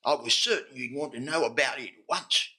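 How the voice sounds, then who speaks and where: A man's voice: mid-range voice, a little fast, sharp tone. An actor playing a deferential, earnest police sergeant in an old-time radio drama, reporting respectfully to his superiors.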